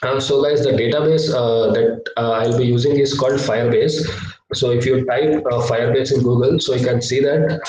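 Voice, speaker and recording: A young man teaching a live online coding lesson, hesitant with many filler sounds, his voice slightly low from a cough. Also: steady tone, moderate pace, a little mellow, a little hiss or hum. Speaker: workshop instructor. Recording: echoey, distant laptop mic.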